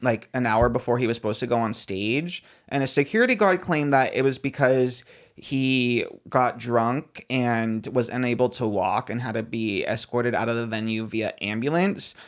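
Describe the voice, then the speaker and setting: Male podcast co-host, medium pitch, gossipy and slangy, casually running through celebrity news. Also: moderate pace.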